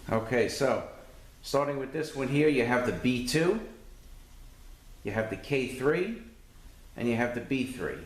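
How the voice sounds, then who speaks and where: A man's voice: mid-range voice, expressive, measured pace, long pauses. A man giving an informal hands-on walkthrough, explaining step by step like a mechanic teaching a repair. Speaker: transmission mechanic demonstrating repair. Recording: roomy echoing space, distant mic, faint noise.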